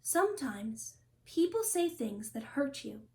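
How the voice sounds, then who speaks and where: A woman as a high-voiced, worried giraffe parent. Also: very animated, takes it slowly, warm tone, heavily acted. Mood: reassuring. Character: caring, worried giraffe parents of a young son. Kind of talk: children's storybook character reading.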